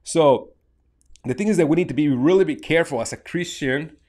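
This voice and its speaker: Mexican-accented man, halting casual talk. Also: fairly high pitch, a little mellow. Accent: Mexican Spanish. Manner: halting, many restarts and fillers, gestures with hands. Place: small treated home studio, indoors. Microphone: large studio mic on desk.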